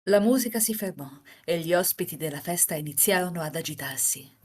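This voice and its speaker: A woman reading as a composed, enigmatic housemaid who narrates a visual novel tale in formal, measured sentences. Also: lowish voice, lively intonation, darkish tone.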